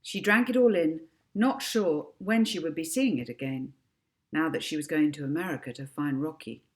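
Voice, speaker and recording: A woman author reading her own children's book aloud as expressive narration. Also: low voice, moderate pace.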